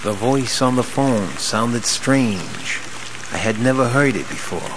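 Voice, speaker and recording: A man working as a radio announcer, telling a scripted first-person story in a theatrical, deliberate way. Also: mid-range voice, background noise. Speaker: radio board operator and announcer. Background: music.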